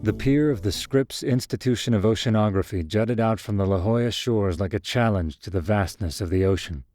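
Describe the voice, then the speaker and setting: Low-pitched man narrating fiction, dry sound. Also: a little fast, darkish tone, no room sound. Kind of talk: scripted first-person fiction narration.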